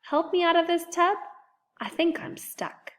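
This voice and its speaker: A woman voicing an awkward young bigfoot boy, squeaky, whiny and self-conscious. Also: a little slow. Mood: worried, whiny. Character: awkward, anxious, sulky young bigfoot boy, huge for age.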